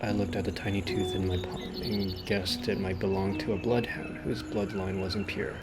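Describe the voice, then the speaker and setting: A man's voice: sits low, level tone, normal speed. A man reading a video game's story aloud as a streamer, with casual asides. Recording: loud game music behind the voice. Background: loud game music.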